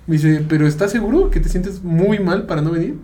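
A young man with a Mexican Spanish accent chats on a podcast, laughing as he acts out the other people. His voice sits high for a man and bounces around a roomy, echoey space. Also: fairly quick, mellow. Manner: laughing storyteller, acting out quoted voices. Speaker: podcast co-host. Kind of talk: casual podcast chat.

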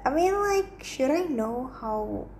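A woman's voice: high voice, lively intonation, slow pace, darkish tone, slight background noise. A young adult woman who grew up in Romania and Indonesia, talking in a casual solo monologue, chatty and full of fillers and restarts. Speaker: podcast host.

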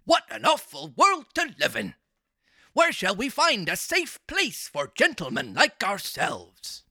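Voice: medium pitch, huge pitch swings, unhurried, no room sound, studio quality, heavily acted. A performer voicing a sly, wheedling con-artist fox in a storybook, theatrical and persuasive with false sympathy. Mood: mock sympathy. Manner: theatrical, persuasive, mock-gentlemanly. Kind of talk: storybook character reading.

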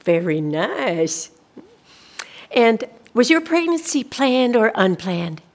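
A woman's voice: on the high side, big pitch swings, takes it slowly, heavily acted. A female social worker in a radio play. She speaks with careful politeness and an officious edge. Her manner is evasive and soothing, yet she keeps pressing. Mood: politely probing. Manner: politely insistent, bureaucratic, soothing reassurances. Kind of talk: scripted audio drama dialogue.

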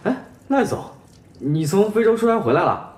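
A man playing a lively-pitched, polite young man. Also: normal speed, slight background noise. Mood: concerned. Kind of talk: modern audio drama acting.

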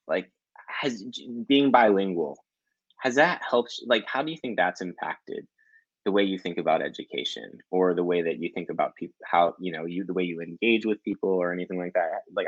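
Male guest, low voice, thoughtful and musing, dull lo-fi audio. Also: no room sound. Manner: musing, thoughtful, trailing restarts. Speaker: podcast guest. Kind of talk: casual interview chat.

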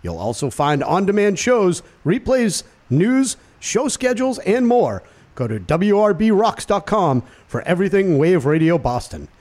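A man with a lively, swooping delivery and a slightly raised pitch, guesting on a radio show. He is a sports announcer who jokes about himself, then reads a station promo. He speaks from a studio. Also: measured pace. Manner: animated, playful, self-deprecating. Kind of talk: casual chat and promo read.

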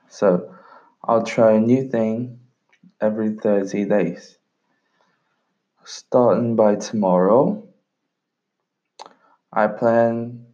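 A young Brazilian man with a slightly North American-tinged accent, hosting a casual solo podcast in a relaxed, rambling way and swearing casually. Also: on the low side, dull audio. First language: Portuguese. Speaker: podcast host.

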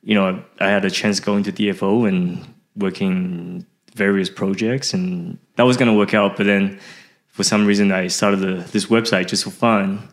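Podcast guest: middle-aged male, deep pitch, conversational, halting delivery with repeated words. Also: steady tone. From Taiwan, later Australia and Canada. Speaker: podcast guest, fishing website founder. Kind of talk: casual interview chat.

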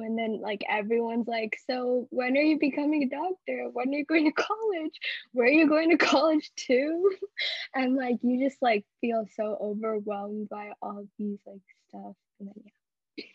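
Teen girl, flat and breathy, soft-spoken, dull dry lo-fi mic. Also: on the high side, no room sound. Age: teens. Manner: soft-spoken, filler-heavy. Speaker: podcast co-host. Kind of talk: casual chat.

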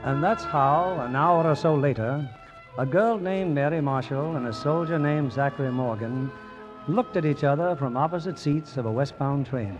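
Old time radio announcer guy, highish voice, formal narration, dull recording w/ music. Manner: measured, formal delivery. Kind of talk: 1940s radio scripted narration.

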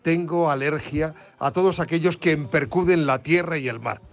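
Reflective man, slightly high voice. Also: moderate pace. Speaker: radio program director, columnist. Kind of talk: opinion commentary.

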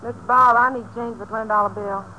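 A woman acts a polite cinema ticket seller in an old radio drama. Her voice is fairly high and businesslike. The recording is muffled and faintly noisy. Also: steady tone, fast talker, close to an everyday voice. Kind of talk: 1950s radio drama acting.

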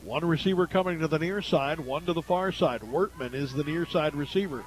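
An American man doing live radio play-by-play commentary of a high school football game. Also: a little fast, slight background noise. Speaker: play-by-play sports announcer.

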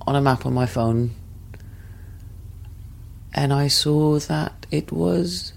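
A man calmly and candidly recounting a past relationship in a thoughtful, measured way. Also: mid-range voice, creaky. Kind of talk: reflective personal storytelling.

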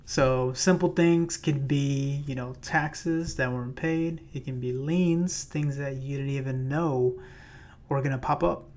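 A man hosting his own podcast in a loose, chatty way with many fillers, his voice a little raspy. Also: medium pitch, fairly even intonation, unhurried, a little mellow. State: slightly raspy voice. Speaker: podcast host and realtor. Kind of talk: casual solo podcast talk. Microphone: plugged-in microphone.

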